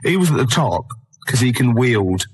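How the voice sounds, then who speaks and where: British man, husky, medium pitch, comic radio chat, rambling, deadpan storytelling. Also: normal speed. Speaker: radio comedy host.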